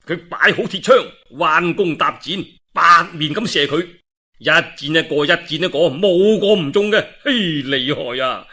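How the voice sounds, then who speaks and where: A man with a Cantonese accent telling a historical tale in a dramatic, expressive way with colloquial asides. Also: a little high, measured pace. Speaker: storyteller. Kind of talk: animated traditional storytelling of a historical novel.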